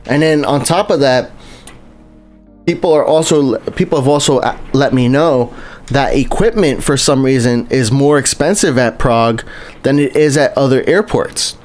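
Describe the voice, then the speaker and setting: A man's voice: mid-range voice, normal speed, a little mellow, faint music behind. A man live-streaming a game, chatting casually with viewers and often restarting his sentences. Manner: rambling and chatty, lots of restarts, greets chat viewers. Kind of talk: casual live-stream commentary while playing.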